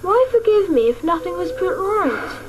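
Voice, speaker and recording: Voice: very high voice, big pitch swings, moderate pace, background noise. An actor playing an earnest young boy in a radio drama, asking probing, insistent questions. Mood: challenging.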